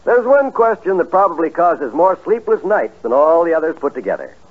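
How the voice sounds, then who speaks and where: A tinny old radio recording of a male announcer from the 1950s. He reads a script in a polished way, with a fairly high, husky voice and very lively intonation. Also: brisk.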